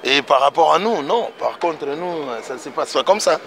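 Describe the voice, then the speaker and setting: A man's voice: on the high side, expressive, moderate pace, vocal fry, crowd noise. A middle-aged man from Congo answering a street interview, emphatic and insistent, outdoors on a city street. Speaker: passerby interviewee. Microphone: interviewer's handheld phone.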